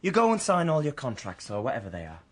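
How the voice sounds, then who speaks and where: A young man's voice with lively pitch swings, a plain-spoken drifter in a radio drama. He is romantic but blunt and wary, colloquial in his phrasing. There is faint noise behind him. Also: fast talker. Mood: wary, defiant. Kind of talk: radio play acting and first-person narration.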